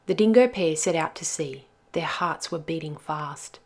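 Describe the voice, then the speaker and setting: A woman's voice: low-pitched, normal speed, a little mellow. A woman storyteller reading a rhyming children's picture book aloud with expression.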